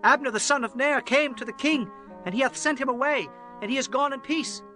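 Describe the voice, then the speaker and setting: Man acting a very high-pitched biblical soldier. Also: a little fast, sharp tone, soft background music. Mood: urgent. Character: high-voiced soldier reporting news to his commander. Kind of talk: dramatized scripture, radio-play acting.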